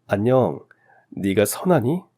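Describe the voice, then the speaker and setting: A man's voice: on the low side, very animated, takes it slowly, dark tone. A man playing a kind, humble man in his thirties, a family friend in a radio story drama. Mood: gentle.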